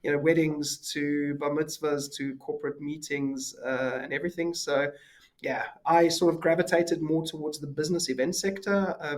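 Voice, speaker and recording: South African man, higher voice. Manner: relaxed, many fillers and restarts. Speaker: podcast guest. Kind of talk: casual interview chat.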